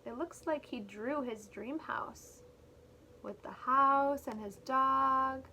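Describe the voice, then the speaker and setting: A woman reads a picture book aloud as a teacher would for young children. Her voice is somewhat bright with a touch of creak, and she goes slowly and expressively, adding plain explanations along the way. There is faint noise behind her. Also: high-pitched. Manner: expressive, deliberate reading with simple explanations for children. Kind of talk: read-aloud storytelling with explanatory asides.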